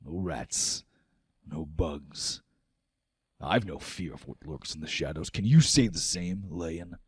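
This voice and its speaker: A man's voice: slow pace, husky, dry recording, full character voice. A man voicing a proud, fierce giant warrior chieftain in a declamatory, theatrical tabletop game style. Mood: cold, menacing. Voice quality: low growl when angered. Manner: declamatory, theatrical, old-fashioned oaths.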